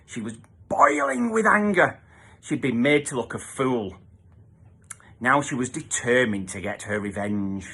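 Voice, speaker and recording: A man's voice: medium pitch, very animated, unhurried, vocal fry, pushed and bright, breathy edge. A man reading a children's story aloud as a theatrical narrator, with emphatic sound-effect words. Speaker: storybook reader.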